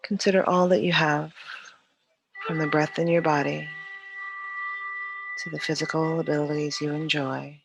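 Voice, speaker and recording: A yoga teacher leading a guided meditation in a calm, soothing, unhurried way with long pauses. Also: mid-range voice, vocal fry, over music, rough recording.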